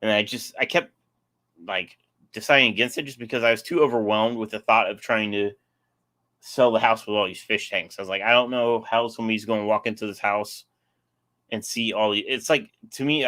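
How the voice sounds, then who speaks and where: A man's voice: fairly low pitch, steady tone, brisk, pushed and bright. A young man casually hosting a live stream, rambling and answering chat, his voice getting sore.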